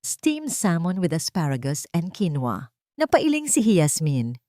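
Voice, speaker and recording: A Filipino woman narrates a dramatic audiobook in a fairly low voice. Her intonation moves widely and she sets out the story in deliberate, suspenseful beats. The sound is dry and close, with little room on it. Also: sing-song, warm tone, no echo.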